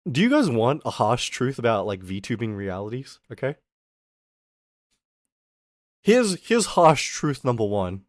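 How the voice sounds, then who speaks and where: A young man streaming as a VTuber, ranting in a casual, animated way. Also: medium pitch, no room sound, clean studio sound. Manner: animated, exaggerated, quick mock voices and laughs.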